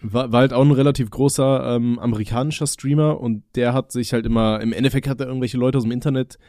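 Young guy w a mellow voice, stumbles and restarts a lot, dry close mic, getting over a cold. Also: mid-range voice, steady tone, fast talker, no room sound. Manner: rambling, stammering restarts, joking. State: recovering from a cold. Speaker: podcast co-host. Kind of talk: casual chat.

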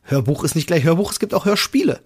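Co-host: young male, slightly high and raspy, slangy, chatting casually. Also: big pitch swings, quick pace, darkish tone. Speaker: podcast co-host. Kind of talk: casual chat.

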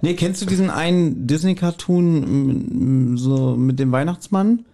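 A man's voice: a little high, measured pace, gravelly, dark tone. A man co-hosting a podcast, chatting casually and joking dryly. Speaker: podcast co-host. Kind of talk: casual chat with some reading from a book.

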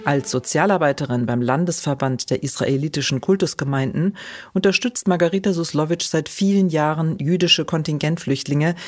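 A woman hosting a short documentary format, reading scripted narration in an even, measured way. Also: low-pitched. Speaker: host, narrator.

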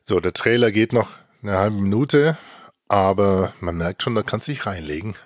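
A man's voice: fairly low pitch, moderate pace, dry recording, studio quality. A man co-hosting a podcast with casual banter, moderating the conversation. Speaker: podcast co-host.

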